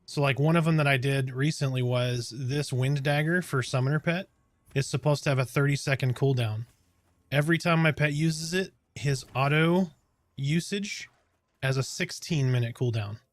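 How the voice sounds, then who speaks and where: A man with a calm, soothing voice chatting casually on a game stream, explaining things step by step in a relaxed, rambling way. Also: mid-range voice, moderate pace, no echo. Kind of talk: casual live-stream chat.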